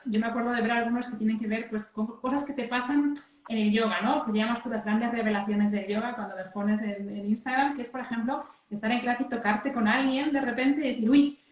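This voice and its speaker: A woman's voice: on the high side, fairly even intonation, a little fast. A woman hosting a live interview, asking friendly questions and often checking in with "right?". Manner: affirming, tags questions with "¿no?". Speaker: interviewer and live-stream host. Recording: echoey room.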